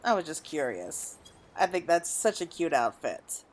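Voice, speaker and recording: A woman's voice: low voice, very animated, unhurried. A woman giving casual, chatty commentary as she plays through a game, thinking aloud. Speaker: gamer narrating a playthrough. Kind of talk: casual gameplay commentary, also reading game dialogue aloud.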